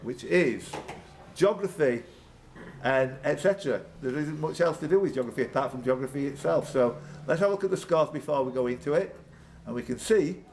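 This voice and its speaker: A man's voice: a little high, lively intonation, a little fast, creaky, darkish tone. A man hosting a live pub quiz as quiz master, reading questions and tossing out bantering, jokey asides. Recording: background room noise.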